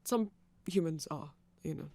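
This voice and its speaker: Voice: medium pitch, measured pace, gravelly, a little mellow, dry recording. A performer playing a nerdy, polite vampire shopkeeper in an ASMR roleplay, hesitant and stammering, rambling apologetically in casual chat. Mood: awkward, hesitant.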